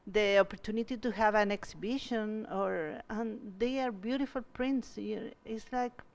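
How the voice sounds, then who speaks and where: A woman's voice: mid-range voice, steady tone, moderate pace. A woman from Chile, a guest artist who answers audience questions at a museum talk and often restarts as she searches for words. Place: museum event space.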